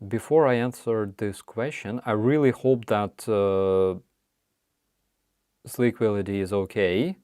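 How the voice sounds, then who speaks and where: A man with a Ukrainian accent hosting a casual live chat, answering viewer questions in halting, apologetic English with many restarts. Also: lowish voice, dark tone, smooth, no room sound, studio quality. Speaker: livestream host.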